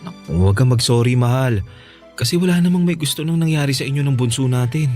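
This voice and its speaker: A man acting as a gentle, devoted young husband in a Filipino radio drama, speaking in a soothing, coaxing way. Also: medium pitch, fast talker, faint music behind, close to an everyday voice. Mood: reassuring.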